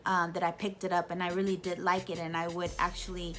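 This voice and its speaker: A woman's voice: fairly low pitch, fast. Chatty woman in her thirties. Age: 30s. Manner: chatty, animated, many fillers and restarts. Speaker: YouTube vlogger. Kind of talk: casual Q&A talk to camera.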